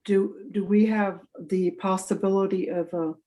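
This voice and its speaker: A woman's voice: medium pitch, fairly even intonation, unhurried, dark tone. A woman on a video call asking follow-up questions, hesitant and stumbling. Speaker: convention planning team member.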